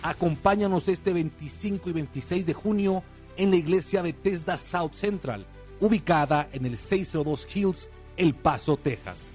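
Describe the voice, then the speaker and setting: A man's voice: a little high, moderate pace, darkish tone, soft background music. A man reading a scripted church program promo in an upbeat, inviting manner. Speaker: radio announcer.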